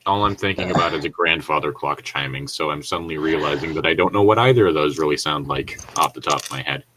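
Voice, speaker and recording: A man's voice: rumbling low, fast, background noise. A man co-hosting a casual read-along, reading stories aloud and breaking off into rambling, joking commentary. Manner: rambling, jokey, chuckles at own remarks.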